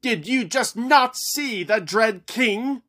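A man doing a forceful, pleading peacemaker. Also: extremely high pitch, lively intonation, takes it slowly, pitched above the performer's own voice, full character voice.

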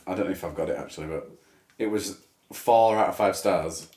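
A man's voice: deep voice, fairly quick, darkish tone, roomy sound. A young man with a Yorkshire accent co-hosting a casual podcast, laid-back and dry, swearing casually. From Leeds, England. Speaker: podcast co-host. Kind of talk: casual chat.

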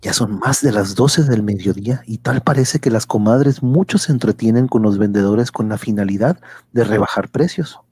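A man's voice: fairly low pitch, normal speed, a little mellow, husky, no room sound, studio quality. A man reading scripted narration for a radio drama.

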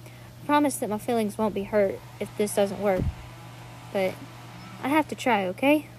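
A woman's voice: mid-range voice, moderate pace, a little mellow, a little hiss or hum, natural voice, poor audio. A woman playing an earnest, pleading young sister in a dramatic monologue reading.

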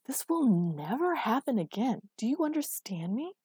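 A woman's voice: on the high side, expressive, normal speed, gravelly, warm tone, breathy edge, no room sound, studio quality, pitched above the performer's own voice, exaggerated voice. A woman voicing an angry, controlling but protective and exasperated man in a dramatic story reading. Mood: furious, commanding.

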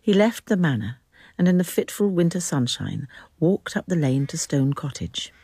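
An actress playing a measured storyteller with a mellow, husky voice in scripted literary radio drama narration. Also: female voice, sits low, sing-song, no echo, studio quality, heavily acted. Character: measured third-person storyteller.

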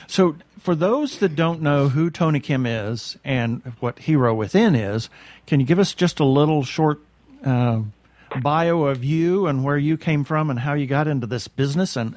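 A man's voice: on the high side, animated, normal speed, darkish tone, no echo. American man hosting a podcast, close and dry. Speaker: webcast host.

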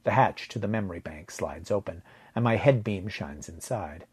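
Male narrator for sci-fi audiobook: low husky voice, measured and dramatic, very dry recording. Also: animated, darkish tone. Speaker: fiction narrator. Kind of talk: first-person audiobook narration of a science fiction story.

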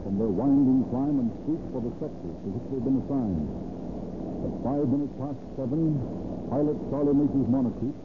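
A man's voice: medium pitch, normal speed, noisy background. A man giving grandiose, dramatic scripted narration in the style of a vintage radio crime program. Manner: grandiose, florid delivery. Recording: tinny old radio recording. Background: music.

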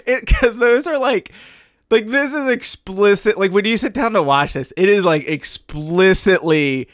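A man's voice: sits high, unhurried, dry recording, studio quality. Easygoing man, filler-heavy podcast chat. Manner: relaxed, filler-heavy, agreeable. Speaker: podcast co-host. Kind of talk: casual chat.

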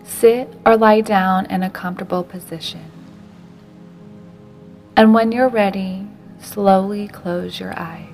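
Gentle woman guiding a meditation over music. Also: medium pitch. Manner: slow, unhurried, gentle delivery with soft breathy phrase endings. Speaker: meditation guide. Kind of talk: guided meditation narration.

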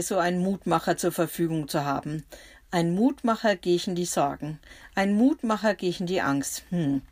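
A woman narrating a bible story for children in the first person, gentle and reflective. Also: on the low side.

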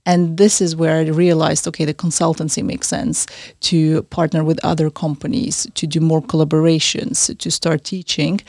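A woman's voice: sits low, little pitch movement, normal speed, darkish tone, no room sound, studio quality. A young woman from Central Asia, raised in Austria, answering podcast questions as a fashion designer and consultant, talking fluently and earnestly.

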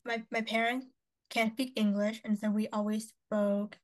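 Chatty young woman, higher voice. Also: flat delivery, moderate pace. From Canada. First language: Cantonese. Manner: chatty, upbeat, lots of fillers. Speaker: podcast co-host. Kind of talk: casual chat.

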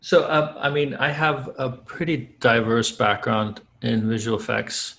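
A man from Iceland with an Icelandic accent, speaking English as a second language as an interview guest, measured and pausing often to find his words. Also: medium pitch, creaky, a bit bright. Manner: measured, searching for words with many ums. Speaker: guest, visual effects artist. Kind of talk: casual interview.

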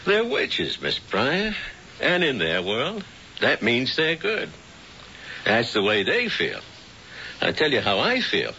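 A man's voice: medium pitch, wildly swooping pitch, slow pace, creaky, pauses often, bright, forceful tone, exaggerated voice. An actor playing a tough, plain-spoken male investigator in an old-time radio drama. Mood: firm, scornful. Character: blunt, hard-boiled male investigator, reassuring but scornful. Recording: dull, hissy old radio recording.

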